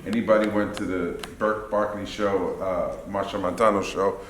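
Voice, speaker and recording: A man with a low voice talks to an audience after a staged reading, rambling loosely and trailing into fillers. The sound bounces around a reverberant room with a faint hum behind it. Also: little pitch movement, moderate pace. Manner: rambling, chatty, self-aware about being long-winded. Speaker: producer. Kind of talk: casual post-show talkback remarks. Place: theater event space, indoors. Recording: echoey room, faint background noise.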